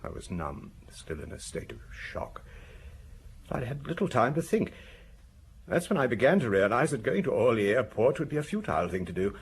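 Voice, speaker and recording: An actor playing a shaken, vain husband in a radio drama, speaking in a halting, anguished, defensive way. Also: male voice, medium pitch, very animated, creaky, breathy edge, heavily acted. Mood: numb, confessional. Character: shaken husband, a vain well-dressed provincial, wife found murdered.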